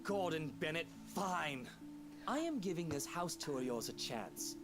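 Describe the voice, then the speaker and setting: An actor with a British accent playing a grumpy, indignant husband in a visual novel game. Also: male voice, fairly high pitch, lively intonation, measured pace. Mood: indignant, grumbling. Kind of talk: game voice acting. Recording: music behind the voice.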